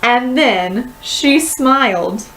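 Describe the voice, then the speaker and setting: A woman reading a children's story aloud with expressive, dramatic and deliberate phrasing. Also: fairly high pitch, slight background noise, rough recording. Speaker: storybook reader.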